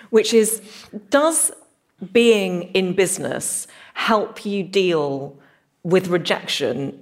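A woman hosting a podcast interview, asking questions in an articulate, engaged, conversational way. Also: on the low side.

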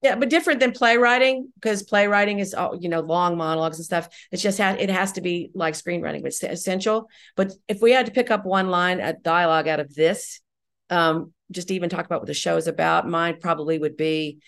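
A woman's voice: lowish voice, brisk, a bit bright. A middle-aged woman speaking as an interview guest, candid and emphatic in a reflective conversational way. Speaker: guest, audio drama writer and director.

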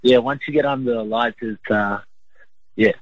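A young man with an Australian accent giving a sports interview as a boxer in a halting, plain-spoken way. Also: medium pitch, no echo, phone-quality sound. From Brisbane, Queensland, Australia.

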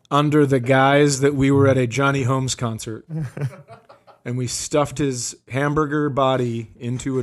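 Male comedian podcaster, medium pitch, dry and sardonic casual chat, freely profane.

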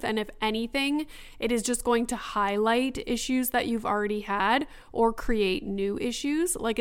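Young female podcast host, solo episode, casual and rambling, smooth but slightly raspy voice. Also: medium pitch. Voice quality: raspy after long talking. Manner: chatty, frequent fillers like "you know" and "like". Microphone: laptop recording setup.

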